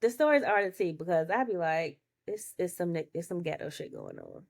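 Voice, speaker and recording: A woman's voice: lowish voice, huge pitch swings, a little fast, gravelly. A woman hosting a casual podcast interview, chatting in an informal, slangy and affirming way. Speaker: podcast host.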